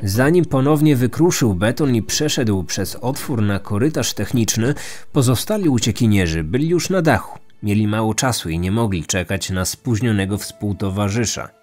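A man's voice: on the low side, animated, normal speed, a little mellow. Man narrating true crime over music. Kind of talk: scripted documentary narration.

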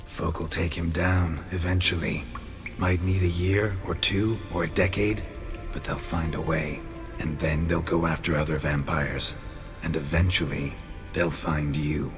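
A man playing a weary, stoic monster hunter in a video game, gruff, blunt and laconic. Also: fairly even intonation, a little slow, over music. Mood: grim, persuasive. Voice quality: low, gruff. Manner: restrained, laconic. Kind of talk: dramatic video game acting.

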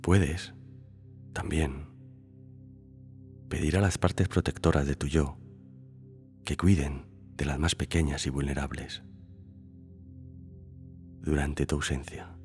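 Very deep-voiced man guiding meditation. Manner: calm, unhurried phrasing with long pauses. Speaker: meditation guide. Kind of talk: slow scripted guided meditation. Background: background music.

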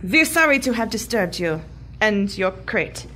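A woman's voice: mid-range voice, sing-song, measured pace, sharp tone, soft background music. A woman playing an icy, haughty villainess posing as an academic in a comedic audio drama, formal and disdainful. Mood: icy politeness.

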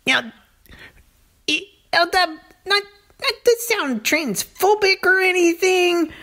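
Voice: extremely high pitch, expressive, slow, sharp tone, voice pushed up, full character voice. A performer voicing a small, wary talking lizard in a comedy skit, repeating words and speaking in uncontracted phrases. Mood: incredulous, prying. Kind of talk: comedy puppet-style dialogue.

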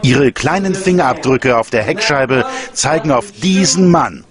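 A man's voice: medium pitch, expressive, measured pace, a crowd in the background, natural voice. A man dubbing a grave, emphatic male crime investigator in a documentary, with a standard German accent. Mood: impassioned pleading. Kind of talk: documentary voice-over dub of interviews.

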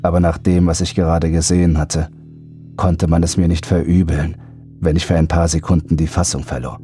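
Male horror audiobook narrator, very low voice, dramatic first-person storytelling over faint music. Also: flat delivery, a little fast, mellow.

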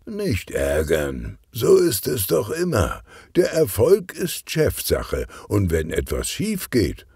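A cheerful, portly police chief , as a male reader puts him on from a children's audiobook. His low voice takes its time and swings through lively inflections, teasing and good-humoured. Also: takes it slowly, husky, no room sound, clean studio sound, heavily acted. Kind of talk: children's audiobook character reading.

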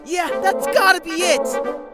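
A woman playing an excitable, gossipy teenage schoolboy in a manga dub. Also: very high-pitched, slow pace, over loud music. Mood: astonished.

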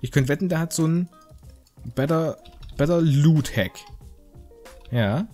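A man's voice: fairly high pitch, unhurried, dark tone, faint music behind. A man giving casual, joking game commentary in a rambling, slangy, mock-indignant way. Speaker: gaming video host.

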